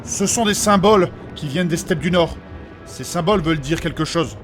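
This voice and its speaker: A man's voice: a little high, fairly quick, faint music behind, natural voice. A man playing a learned, formal and fussy scholar of magic in a comic fantasy audio drama, precise and prone to repeating himself. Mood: earnest, explanatory. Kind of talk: French fantasy comedy audio fiction acting.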